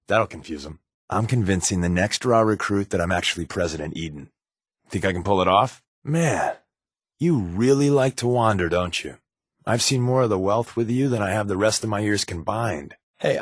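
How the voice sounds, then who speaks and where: A man's voice: lowish voice, no room sound, clean studio sound. A man playing a wisecracking, secretive undercover agent in a video game, with a wry, glib, conversational delivery. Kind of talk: video game companion dialogue.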